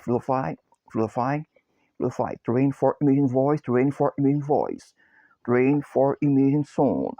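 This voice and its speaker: A man's voice: mid-range voice, dry recording, lo-fi sound. A Brazilian man with a Brazilian Portuguese accent, a copywriter introducing himself and chanting the same short phrases over and over in broken English. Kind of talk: repetitive chanted self-introduction.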